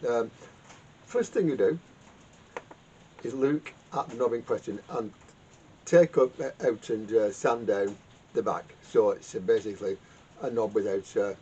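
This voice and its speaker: A man giving a casual, unscripted repair demonstration in a halting, rambling way, often repeating words as he explains. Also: fairly low pitch, dark tone. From United Kingdom. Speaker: vintage radio repairer and video host. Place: home garage workshop. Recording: dull lo-fi sound with clear background noise.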